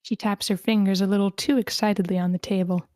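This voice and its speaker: A woman's voice: mid-range voice, steady tone, fast, warm tone, no echo, studio quality. A woman reading screenplay stage directions as narrator at a table read.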